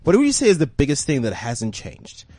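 A man from Johannesburg with a South African accent, whose first language is Tswana, hosting a casual panel podcast in an animated, emphatic way. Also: mid-range voice, slightly rough, dry recording. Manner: animated, emphatic, quick asides. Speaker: podcast host.

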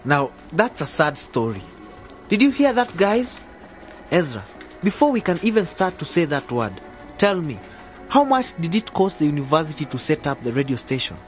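Radio play casting: excitable young male novice, higher voice with big swings, cheeky and questioning. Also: moderate pace, faint music behind. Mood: dismayed, teasing. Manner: animated, questioning. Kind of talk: scripted educational radio drama.

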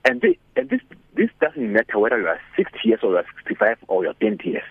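A man speaks in a husky, gravelly voice, full of animated persuasion and rhetorical questions. His pitch leaps up and down as he pushes his point. The sound is thin and dry, like a phone call. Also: fast talker, no room sound. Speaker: radio guest speaker. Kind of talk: motivational phone-in talk. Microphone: telephone. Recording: tinny dry phone line.

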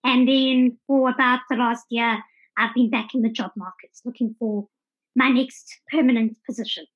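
A woman's voice: a little high, steady tone, normal speed, slightly rough, lo-fi sound. A South African woman in her thirties giving articulate, orderly answers as a guest in a conversational interview. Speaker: podcast guest.

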